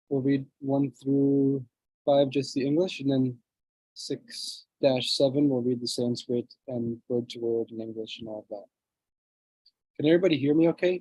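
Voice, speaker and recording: Man, low mellow voice, scripture class teacher, video call, dry close sound, throat clearing. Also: dry recording, poor audio. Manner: reads translations aloud, pauses to clear throat. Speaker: class speaker. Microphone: computer mic on a video call.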